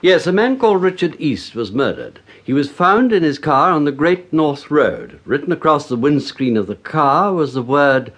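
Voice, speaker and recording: An actor playing an earnest senior British police chief, husky-voiced, in a vintage radio drama. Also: male voice, fairly high pitch, very animated, normal speed, few pauses, a bit bright. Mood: grave.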